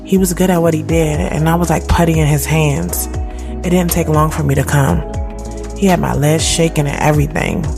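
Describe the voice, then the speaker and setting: A woman in her thirties playing a sharp-tongued, moody woman who tells her own story in a candid, slangy audio drama. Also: low-pitched, fairly even intonation, a little fast, creaky. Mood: sultry confiding. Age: 30s. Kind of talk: first-person audio drama narration and dialogue. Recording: music bed behind the voice.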